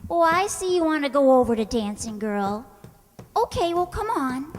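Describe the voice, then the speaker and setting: A woman's voice: high-pitched, sing-song, normal speed. A woman playing a sweet, naive, scatterbrained young secretary in an old-time radio comedy, guileless and earnest. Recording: reverberant hall, faint noise.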